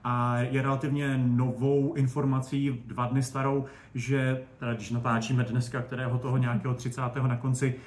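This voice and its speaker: A man's voice: mid-range voice, level tone. Digressive man in a very echoey room. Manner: digressive, hesitant, self-correcting. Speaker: expert guest on spaceflight. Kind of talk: conversational interview answers. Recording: echoey room with faint noise.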